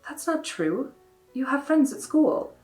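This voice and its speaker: A woman voicing a stern but gentle mother who speaks in a firm, measured way. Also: sits high, very animated, faint music behind, pitched above the performer's own voice, poor audio.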